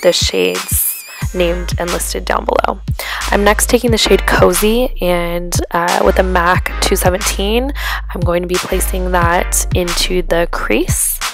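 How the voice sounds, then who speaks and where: A woman's voice: fairly low pitch, slow, vocal fry. Young woman's voice-over with music underneath. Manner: relaxed, step-by-step, with ums and uhs. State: sick, voice a bit off. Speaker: beauty vlogger. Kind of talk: voice-over narrating a makeup tutorial.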